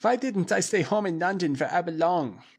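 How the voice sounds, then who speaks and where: A man's voice: high voice, lively intonation, normal speed, breathy edge, voice pushed up, full character voice. A man voicing a terrified, whining man with a heavy foreign accent who wails in panic. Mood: panicked. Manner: wailing, panicky.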